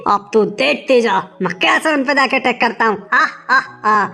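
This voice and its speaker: A woman's voice: fairly high pitch, normal speed, vocal fry, sharp tone, soft background music, exaggerated voice. A woman voicing a proud, boastful male lion king in a children's animal story. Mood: boastful menace. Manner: boastful, with villainous laughs.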